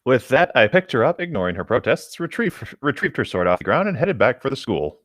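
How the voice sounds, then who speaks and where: A man's voice: mid-range voice, very animated, a little fast, no echo, clean studio sound. A man reading a story aloud and bantering casually with friends. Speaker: co-host reading fan fiction aloud.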